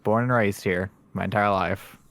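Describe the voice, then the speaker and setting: A man's voice: fairly low pitch, fairly even intonation, moderate pace, a bit bright. A man co-hosting a podcast, laid-back in casual chat with brief replies. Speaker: podcast co-host.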